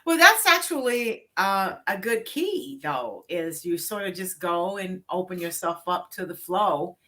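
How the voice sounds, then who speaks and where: Cheerful lady podcast host, bright voice, middle pitch, eggs the guest on. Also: animated, measured pace. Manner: upbeat, encouraging, laughs easily. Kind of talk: hosting an interview.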